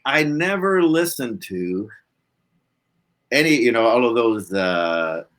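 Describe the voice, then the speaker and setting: A man's voice: mid-range voice, expressive, slow pace, fairly bright tone. A man, chatting informally as an interview guest and rambling through his stories. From Canada. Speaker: guest, blues musician. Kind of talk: casual interview chat.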